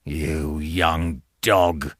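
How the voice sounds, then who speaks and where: A man voicing a ragged escaped convict in an audiobook, rough, hungry and threatening, with rustic speech and dropped sounds. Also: rumbling low, steady tone, drawn-out words, dry recording, clean studio sound, voice pushed down, full character voice. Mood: menacing, greedy. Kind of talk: dramatised audiobook character voice.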